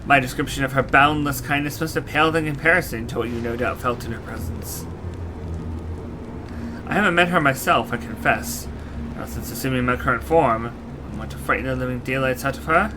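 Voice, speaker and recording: A man's voice: mid-range voice, a little fast, background noise, close to an everyday voice. Man acting out an earnest, courtly fantasy knight. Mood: courtly, playful. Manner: formal, archaic, theatrical phrasing. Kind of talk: fantasy game dialogue read aloud. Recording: music behind the voice.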